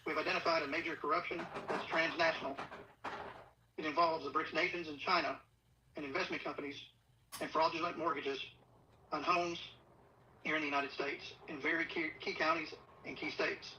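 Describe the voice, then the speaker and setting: Middle aged cop guy giving a serious video statement, really tense sharp voice, a bit high, faint noise behind him. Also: fairly even intonation. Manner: solemn, deliberate, urgent appeal. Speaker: assistant police chief. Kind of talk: earnest prepared video statement. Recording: distant, muffled playback with faint noise. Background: faint background noise.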